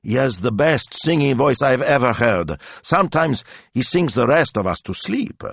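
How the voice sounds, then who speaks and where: A courteous, sincere butcher's son, as a man narrator reads him. He speaks in a raised, expressive pitch with some huskiness, in storybook fashion. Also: moderate pace, no echo. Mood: earnest. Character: earnest, polite young butcher's son.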